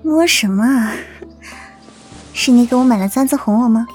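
A woman's voice: a little high, normal speed, faint music behind, close to an everyday voice. A woman acting a tender, plaintive young wife in a Chinese period romance audio drama. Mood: gentle, curious. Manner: soft, plaintive, coaxing.

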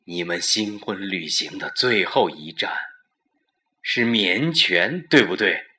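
A man playing a smug, scheming murder plotter in a suspense audio drama, coaxing his lover with emphatic, deliberate instructions. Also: deep voice, animated, bright, forceful tone, full character voice. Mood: probing, confident.

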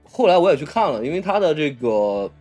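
A man co-hosting a casual film discussion, blunt and slangy, often halting and repeating words. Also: on the high side, very animated, darkish tone, soft background music. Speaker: podcast co-host.

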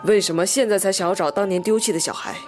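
A woman plays a wary young man in a mystery radio play, music clearly behind the voice. He is guarded and matter-of-fact, driving a bargain in a light, androgynous tone. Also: mid-range voice, fast talker, darkish tone, close to an everyday voice. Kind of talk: audio drama dialogue.

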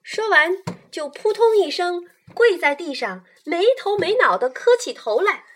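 A woman narrating a children's radio play as a storyteller, in an animated style. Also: very high-pitched, measured pace, a little hiss or hum.

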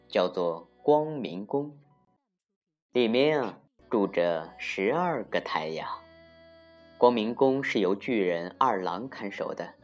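A woman narrating a folktale for children as an expressive storyteller, deliberate and with dramatic emphasis. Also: a bass voice. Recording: muffled lo-fi recording with music.

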